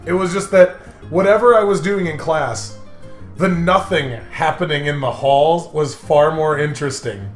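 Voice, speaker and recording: A young American man chatting casually as a game co-host, telling rambling stories with sarcastic, dark humour. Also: on the high side, moderate pace. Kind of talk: casual joking chat, storytelling. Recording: roomy echo, faint music behind.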